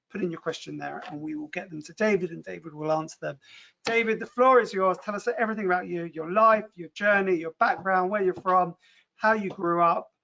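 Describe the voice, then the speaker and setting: High, gravelly upbeat male host. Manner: energetic, rattles off lists. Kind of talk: upbeat show introduction.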